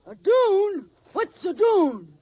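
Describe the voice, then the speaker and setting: An actress playing a dopey, easygoing desert nomad with slangy comic delivery in an old radio comedy. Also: female voice, very high voice, exaggerated voice. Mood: dopey, cheerful. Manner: slow, dim-witted comic deadpan, slangy. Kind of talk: 1940s radio comedy acting. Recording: noisy, dull old radio recording.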